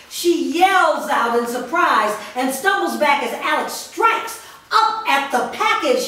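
A woman reading a screenplay's stage directions aloud in a measured, descriptive way at a staged reading, recorded in a large studio from a distance. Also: high-pitched, a bit bright, a little hiss or hum. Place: large indoor rehearsal studio. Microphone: far camera mic. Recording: echoey studio from far off.

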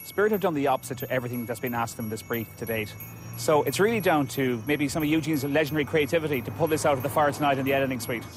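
A man's voice: mid-range voice, racing, music in the background. A man judging a task, blunt and dismissive in his critique. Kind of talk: reality show critique.

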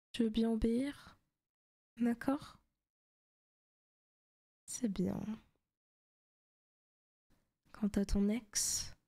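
Possessive female demon played by a woman, seductive ASMR roleplay: soft, intimate, teasing, frequent whispering. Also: mid-range voice, lightly acted. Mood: possessive, sweet.

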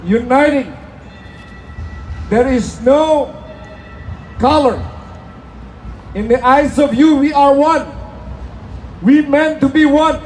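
Fervent high-voiced man with Indonesian accent. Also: slow pace, long pauses, a little hiss or hum. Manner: fervent, preacherly, broken English. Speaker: speaker at a rally. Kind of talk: impassioned rally speech and prayer. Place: outdoor city plaza with a crowd. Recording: phone video of an outdoor rally.

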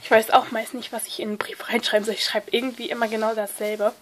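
A young woman with a stuffy nose from a cold chats at a table, her voice sitting a bit high. She hesitates often and fills pauses with little sounds, getting excited as she talks. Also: a little hiss or hum. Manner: chatty, hesitant, many fillers, excited. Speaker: vlogger opening a card-swap letter. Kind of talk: casual unboxing chat, reading letters aloud. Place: indoors at a table, hands-only overhead view.

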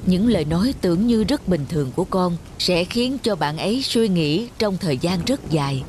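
A woman voicing a patient, wise village father and teacher in a moral cartoon, speaking in a measured, instructive way. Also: fairly low pitch, animated, darkish tone, slight background noise, lightly acted. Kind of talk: cartoon dialogue, moral storytelling. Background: music.

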